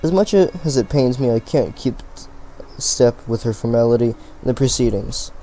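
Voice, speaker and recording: A man's voice: mid-range voice, moderate pace, mellow, faint music behind. A man reading a visual novel aloud, often stumbling and restarting words. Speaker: let's play reader.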